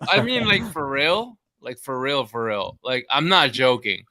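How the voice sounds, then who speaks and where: A man's voice: mid-range voice, very animated, measured pace, pushed and bright, no room sound, clean studio sound. A man whose first language is Korean, tipsy and rambling with many restarts as a panelist on a casual video call chat. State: drunk. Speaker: podcast panelist.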